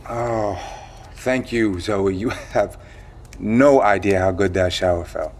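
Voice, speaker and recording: A guarded survivor who knows more than he says. His voice is on the low side, and he talks casually and a little hesitantly, in natural audio drama style. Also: slow, darkish tone, a little hiss or hum. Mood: relieved, amused. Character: weary, guarded male survivor with secret knowledge. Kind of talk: audio drama acting, conversational.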